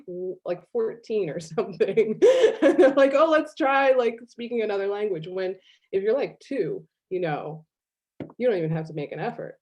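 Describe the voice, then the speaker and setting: A woman speaking casually on a video call, animated, laughing often and using lots of fillers. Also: mid-range voice. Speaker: guest artist. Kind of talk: casual online conversation. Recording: slightly dull video call audio.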